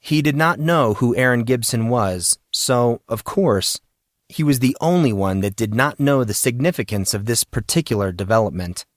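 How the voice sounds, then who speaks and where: A man narrates a story in a dramatic, scripted style. His pitch is middling for a man. The recording is dry and close, with very little room sound. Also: animated, normal speed, dry recording. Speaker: audiobook narrator.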